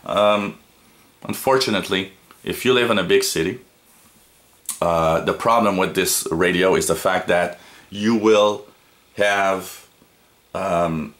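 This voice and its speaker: A man giving an unscripted product review as a reviewer, in a halting way with frequent pauses. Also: lowish voice, fairly bright tone. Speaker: radio reviewer. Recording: noticeable background noise.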